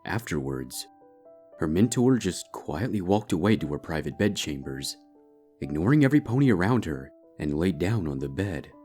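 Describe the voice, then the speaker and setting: A man giving a dramatic scripted narration of a dark fantasy story. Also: deep voice, sing-song, moderate pace. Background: background music.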